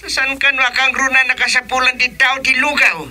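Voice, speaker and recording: Male actor as indignant village chairman, Ilocano accent, high piercing bright voice, insistent, radio comedy. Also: completely flat delivery, fairly quick, full character voice. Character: aggrieved male barangay chairman, sarcastic and complaining.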